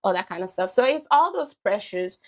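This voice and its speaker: A woman's voice: mid-range voice, animated, a little fast. A woman in her twenties from Haiti with a Haitian accent, a podcast guest talking through her story in a reflective, casual way, full of "you know". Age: 20s.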